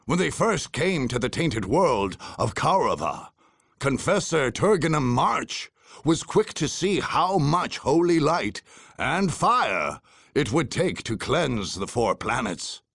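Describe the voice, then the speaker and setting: An actor playing a grave war chronicler, narrating a video game campaign with theatrical, portentous pauses and a husky, gravelly voice. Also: male voice, mid-range voice, big pitch swings, a bit bright, no echo, clean studio sound, heavily acted. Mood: grave, foreboding. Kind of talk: dramatic scripted game narration.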